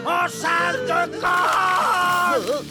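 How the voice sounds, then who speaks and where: A woman's voice: extremely high pitch, flat delivery, drawn-out words, heavily acted. A woman voicing a strict, bossy school principal in a cartoon, with a stern, commanding manner. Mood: furious. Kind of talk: animated cartoon voice acting. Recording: loud music behind the voice.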